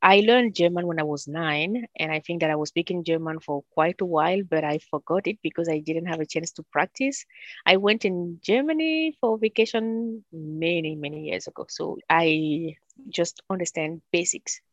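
A woman's voice: on the low side, normal speed, no room sound, highs cut off. A woman from Cameroon with a Cameroonian French accent, a guest telling her story in an interview. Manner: storytelling, animated when quoting others. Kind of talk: interview conversation.

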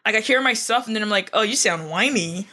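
A woman co-hosting a podcast who rambles and hesitates, leaning on "like" in almost every phrase. Her voice comes across bright and edgy. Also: mid-range voice, a little fast. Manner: rambling, heavy use of "like", hesitant. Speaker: podcast co-host. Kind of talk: casual chat.

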